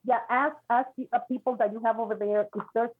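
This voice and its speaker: Woman psychic, husky, halting and reassuring, heard through a thin phone line. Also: on the high side, steady tone. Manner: halting, repeats words, reassuring. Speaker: psychic reader. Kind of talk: spiritual reading.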